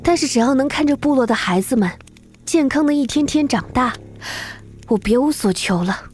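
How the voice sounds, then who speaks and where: A woman voicing a dignified, world-weary female chief of a beast-folk tribe in an animated fantasy, measured and grave. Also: sits high, husky, faint music behind. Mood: weary, resolute. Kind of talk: animated fantasy drama acting.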